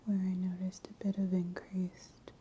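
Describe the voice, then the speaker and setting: A woman doing soft-spoken, mostly whispered ASMR as a practitioner, breathy, gentle and soothing in an unhurried way. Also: on the low side, completely flat delivery, highs cut off, slight background noise. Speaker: ASMR host performing a scalp exam roleplay.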